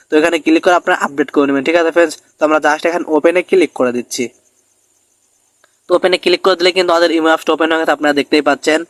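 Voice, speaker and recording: A man's voice: a little high, no room sound. A man hosting a step-by-step tech tutorial, often checking in with his listeners as he narrates.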